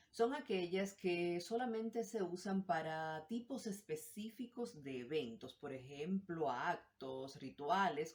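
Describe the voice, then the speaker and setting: A woman giving a clear, measured lesson that dwells on its examples. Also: lowish voice, darkish tone. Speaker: language teacher. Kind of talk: explanatory lesson.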